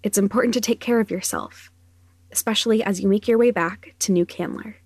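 A woman's voice: mid-range voice, a little fast, a little mellow. A woman reading a scripted podcast announcement as the show's announcer.

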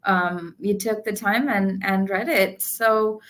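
A woman's voice: mid-range voice, fairly even intonation, slow, fairly bright tone. A woman from India, a business coach, speaking as a guest in an interview in an earnest, positive way. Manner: earnest, positive, many fillers. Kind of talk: casual interview.

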